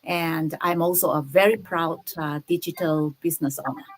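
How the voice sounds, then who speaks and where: An older woman from Brunei introduces herself as a panelist over a video call. Her voice sits low, and she pauses and hesitates between phrases. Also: fairly even intonation, slight background noise, poor audio. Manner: hesitant, pausing with uh.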